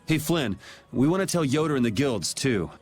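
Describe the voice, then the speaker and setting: A man's voice: medium pitch, very animated, normal speed, darkish tone, faint music behind, close to an everyday voice. A man playing a casual, cocky young swordsman with a laid-back, informal manner in an anime game dub.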